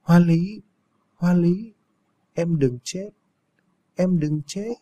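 Người đàn ông vào vai người chồng trẻ đau đớn, giọng vút cao. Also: male voice, high-pitched, drawn-out words, warm tone, voice pushed up, full character voice. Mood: delirious pleading. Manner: desperate, pleading cries. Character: anguished young husband calling for his wife.

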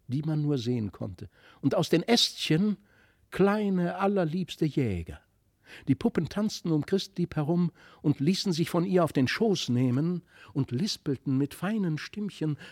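Male storyteller for classic fairy tales, gravelly edge, expressive, very dry studio sound. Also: fairly high pitch, no echo. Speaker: audiobook narrator. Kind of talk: expressive fairy-tale reading.

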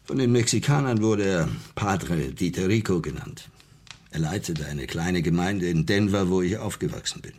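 Ein Schauspieler spielt im deutschen Hörspiel einen höflichen, nachdenklichen Farmer, der früher Militärseelsorger war und seinen Glauben verloren hat. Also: male voice, low-pitched, lively intonation, moderate pace, darkish tone. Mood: grave, reflective. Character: farmer, former army chaplain who lost his faith. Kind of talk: German radio drama acting.

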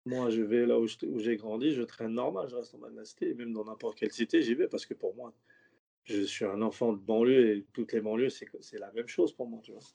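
A man's voice: mid-range voice, fast talker, creaky, warm tone. A man who is a stand-up comedian, talking loosely in a casual interview, slangy and rambling with many restarts. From French suburbs.

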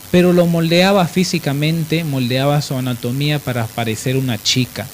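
Un hombre con acento boliviano que conduce un programa de radio hablado, contando biografías de manera informal y corrigiéndose al hablar. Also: male voice, on the high side, low-quality recording. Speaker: radio show host. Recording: clear background hiss.

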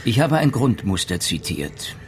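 Deep-voiced, measured male ex-police lieutenant. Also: sing-song, soft background music. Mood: courteous, knowing. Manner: measured, courteous, gently ironic. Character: East German ex-police lieutenant, calm, courteous, superior. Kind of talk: German radio drama acting.

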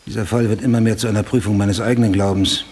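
An actor playing a driven, obsessive homicide detective sergeant in a German radio drama, speaking in a formal, deliberate, insistent way. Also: male voice, fairly low pitch, fairly even intonation, a little mellow, slightly rough. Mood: restrained, troubled.

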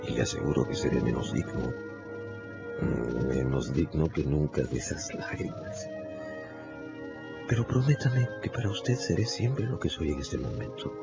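An actor in a radio drama playing a dissolute, self-loathing gentleman in love, speaking haltingly and fervently with a gravelly voice. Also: very deep voice, wildly swooping pitch, exaggerated voice. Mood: anguished devotion. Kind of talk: Spanish-language radio drama acting. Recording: dull lo-fi audio under loud music.

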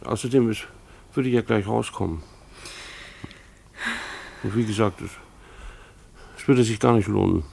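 Gravelly, exasperated husband Hans. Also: male voice, on the low side, a little mellow, slight background noise. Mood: defensive reassurance. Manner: halting, patiently reassuring, bemused. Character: Hans, exasperated, good-natured schoolteacher husband, dutiful civil servant. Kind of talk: German radio play acting.

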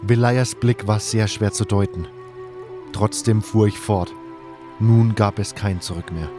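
A man's voice: on the low side, steady tone, measured pace, music in the background. A man narrating a scripted first-person story for an audio drama.

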